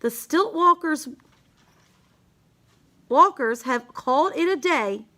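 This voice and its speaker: Woman, very high pitch, slow pace, rhyming children's read-aloud, singsong phrasing. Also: lively intonation, bright, forceful tone. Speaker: storybook reader.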